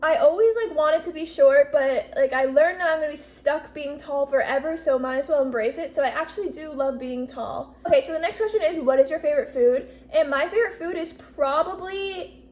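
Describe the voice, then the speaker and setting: Bubbly high-voiced young woman. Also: a little fast, a little mellow. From US Northeast. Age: 20s. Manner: bubbly, chatty, heavy use of "like" and "literally". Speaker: YouTuber. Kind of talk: casual Q&A vlog.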